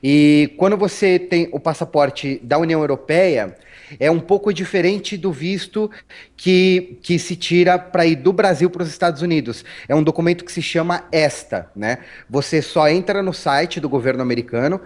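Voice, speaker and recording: Brief: Paulistano male guest, relaxed storyteller, raised pitch, lots of restarts and "né?". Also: moderate pace. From Brazil. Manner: chatty, storytelling, frequent restarts and tag questions. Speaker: interview guest. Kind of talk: casual interview talk.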